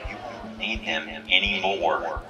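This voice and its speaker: A man playing a stern, sanctimonious council leader in an audio drama, solemn, measured and commanding. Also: low-pitched, fairly even intonation. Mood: cold, stern. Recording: roomy, distant voice under music.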